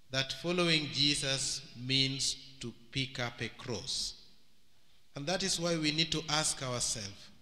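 A middle-aged Kenyan man, a priest, giving a homily at Mass into a stand microphone, preaching slowly and deliberately. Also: mid-range voice, sharp tone. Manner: slow, measured preaching with long pauses, switching into Luo. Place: large church hall. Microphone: stand microphone and handheld mic. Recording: church hall with long reverb.

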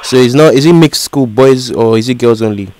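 A man's voice: medium pitch, a little mellow, lightly acted. A man voicing a bumbling, persistent uncle on a comic prank call, repeating himself and misunderstanding things. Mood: earnest, confused. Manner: repetitive, slow on the uptake, misunderstands on purpose. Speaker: prank caller. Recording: noisy prank call recording.

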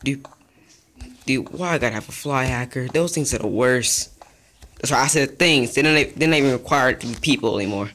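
Young dude chatting thru his game, mid pitch voice, gets excited fast, bit of hiss. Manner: chatty, rambling, easily excited. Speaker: gaming youtuber. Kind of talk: casual game commentary.

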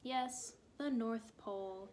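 A woman voicing a tall, distinguished, kindly snowman mayor in a storybook, with a booming, oratorical delivery. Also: lively intonation, measured pace, slight background noise. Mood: wistful.

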